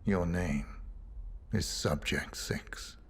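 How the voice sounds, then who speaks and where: An actor playing a stoic, brooding assassin in a video game, terse and deadpan. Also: male voice, low-pitched, very slow, whispered, heavily acted. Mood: cold, subdued. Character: stoic, brooding male assassin, cold and resolute. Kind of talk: dramatic video game cutscene acting.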